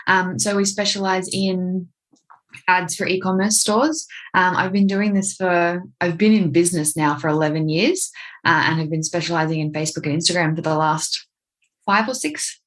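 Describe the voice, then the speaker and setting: A woman's voice: mid-range voice, fairly bright tone. A young adult woman with an Australian accent, a guest on a podcast interview, talking in a chatty, candid way. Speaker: guest, marketing agency owner.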